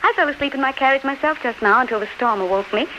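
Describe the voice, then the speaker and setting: A woman's voice: high voice, fast talker, bright, forceful tone. A woman in a 1930s radio drama playing a gracious, tender English duchess, refined, polite and full of feeling. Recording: muffled, noisy 1930s radio recording.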